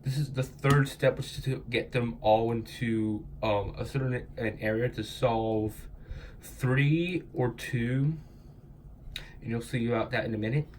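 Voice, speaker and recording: A man's voice: medium pitch, unhurried, darkish tone, slight background noise. A young man with a stuffy, congested voice from a cold, casually explaining puzzle steps in a loose, rambling way. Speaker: cubing tutorial host.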